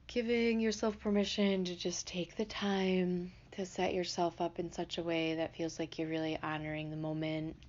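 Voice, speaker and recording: A woman leading a guided meditation in a gentle, unhurried, soothing manner. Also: fairly low pitch, little pitch movement, highs cut off. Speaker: meditation teacher.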